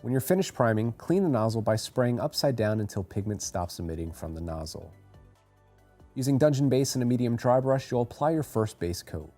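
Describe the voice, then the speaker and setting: Mellow-voiced man narrating a tutorial. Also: medium pitch, sing-song, fairly quick, soft background music. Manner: clear step-by-step instruction. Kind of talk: scripted how-to voice-over.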